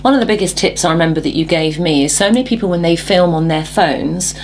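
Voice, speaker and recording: A woman hosts an interview show, drawing out her guest with encouraging questions and steady murmurs of agreement. Her voice sits a little low, with a faint hum behind it. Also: fast talker. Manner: encouraging, frequent "mm" backchannels. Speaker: talk show host. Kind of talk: interview hosting.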